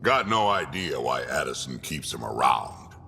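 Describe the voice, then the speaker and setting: Ancient gravelly alien warrior, extremely deep. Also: male voice, sing-song, unhurried, bright, forceful tone, in a large hall, slight background noise, heavily acted. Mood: gruff, distrustful. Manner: blunt, coarse. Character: ancient gruff alien warrior mercenary, proud grandfather. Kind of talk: video game character dialogue.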